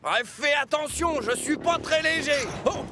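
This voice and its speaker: A man voicing an excitable, grumbling garbage locomotive in a children's cartoon, exclaiming theatrically. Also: extremely high pitch, unhurried, loud music behind the voice. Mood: grateful. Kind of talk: children's cartoon dub acting.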